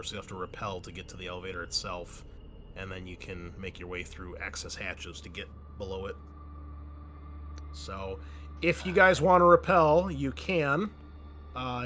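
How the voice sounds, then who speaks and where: A man's voice: lowish voice, noisy background. A man running a tabletop roleplaying game as game master, casually narrating scenes and explaining rules. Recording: faint music behind the voice. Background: music.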